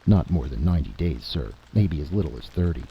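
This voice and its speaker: Urgent, formal male spy chief, done by a man reading aloud: deep voice, emphatic delivery, muffled sound. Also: animated, a little fast, vocal fry, slightly rough, exaggerated voice. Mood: grave urgency. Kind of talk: dramatic audiobook-style reading. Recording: dull lo-fi recording with noise.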